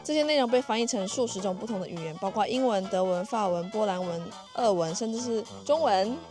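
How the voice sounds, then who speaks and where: A woman's voice: medium pitch, a little fast. A woman giving informative travel narration, explaining local history in an orderly way. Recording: light music under the voice. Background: music.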